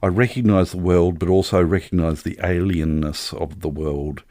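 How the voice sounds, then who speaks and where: A man's voice: deep voice, steady tone, moderate pace, warm tone, dry recording, studio quality. An Australian man co-hosting a podcast, chatting casually about film in a thoughtful, searching way.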